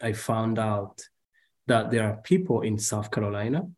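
A young man from Rwanda with a Rwandan accent, speaking as a podcast guest over a video call and pausing often with fillers. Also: lowish voice, lively intonation, a little mellow. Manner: hesitant, halting with many fillers.

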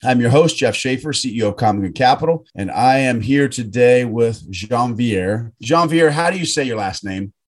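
A man hosts a relaxed podcast interview over a video call, and his speech rambles and restarts as he goes. He sits at mid pitch for a man and keeps a friendly, chatty tone. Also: normal speed, few pauses. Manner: rambling, self-correcting, friendly. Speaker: podcast host. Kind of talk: casual interview.

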